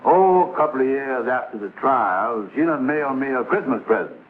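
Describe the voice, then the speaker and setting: A man's voice: mid-range voice, expressive, close to an everyday voice. Man playing folksy lovestruck bachelor, tinny vintage sound. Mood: nostalgic. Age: middle-aged. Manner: folksy, ungrammatical, drawn-out musing. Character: lovestruck, gullible middle-aged bachelor reminiscing about old romances. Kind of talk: 1950s American sitcom acting.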